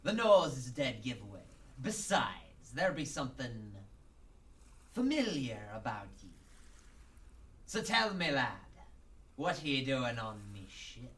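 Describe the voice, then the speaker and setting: An actor voicing a gleeful, sly pirate crime lord with a stage pirate brogue and theatrical archaic speech, in a radio drama. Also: male voice, medium pitch, big pitch swings, a little slow, fairly bright tone, far from the mic. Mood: sly, taunting. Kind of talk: amateur radio drama acting.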